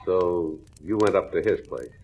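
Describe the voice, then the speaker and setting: An actor playing a skeptical, bullying police captain in a 1940s radio crime drama, sardonic and gruffly commanding. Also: male voice, sits low, measured pace, a little mellow. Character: hard-boiled male police captain, skeptical, bullying interrogator. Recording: dull old radio recording with music.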